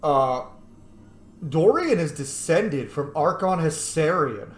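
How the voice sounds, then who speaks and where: A man's voice: a little high, big pitch swings, takes it slowly, reverberant. A man giving informal, enthusiastic and sweary playthrough commentary as a game streamer. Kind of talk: casual playthrough commentary with in-character asides.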